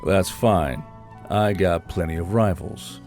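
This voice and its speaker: Man doing a gruff, irritable soldier. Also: sits low, takes it slowly, voice pushed down. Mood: defiant, growling. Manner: growling, muttering, flat. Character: gruff, irritable male soldier, stubborn and sardonic. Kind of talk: fan audiobook character acting. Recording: background music under the voice.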